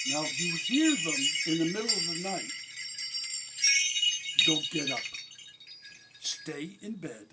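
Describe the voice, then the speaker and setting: A man playing a kindly Santa Claus with a husky voice, reading a storybook to children with gentle, folksy, explaining asides. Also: a little high, heavy background noise. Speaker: guest reader at a benefit concert.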